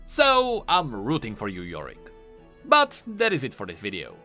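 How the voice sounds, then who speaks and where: A man's voice: medium pitch, wildly swooping pitch, normal speed, pushed and bright. A man hosting a game lore video, giving emphatic, explanatory voice-over commentary. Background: faint music.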